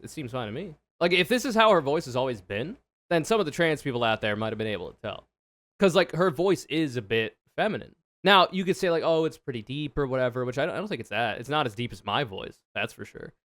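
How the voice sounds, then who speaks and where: Deep, smooth-voiced streamer man, blunt. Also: fairly bright tone, no room sound. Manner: frank, swears freely, thinks aloud. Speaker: streamer reacting to a video. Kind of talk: casual reaction commentary.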